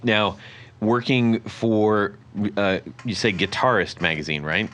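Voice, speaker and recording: Deep, crisp-voiced podcast host. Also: male voice, a bit bright. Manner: friendly, halting questions with fillers. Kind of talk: casual interview chat.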